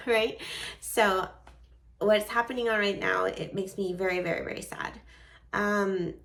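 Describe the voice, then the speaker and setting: A woman's voice: lowish voice, vocal fry, recorded from a distance. A woman in her forties from Venezuela with a light Venezuelan accent, talking earnestly from her desk in a personal vlog. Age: 40s. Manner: earnest, heartfelt, with soft laughs. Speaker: vlogger. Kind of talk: personal vlog talk to camera.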